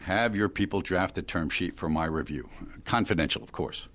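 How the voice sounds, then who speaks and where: An actor playing a cool, hard-nosed younger tech dealmaker in an audio drama, blunt, controlled and condescending. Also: male voice, deep voice, moderate pace, a bit bright. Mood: guarded, businesslike.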